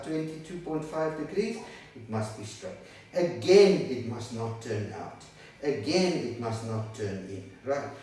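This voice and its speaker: A man's voice: a little high, a little hiss or hum. Older man lecturing in an echoey room. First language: not English. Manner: animated, gestures and draws while explaining, asks "right?" often. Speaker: dog breed instructor. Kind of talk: whiteboard lecture. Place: small indoor room by a whiteboard. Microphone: camera a few meters away. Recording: echoey room, mic at a distance.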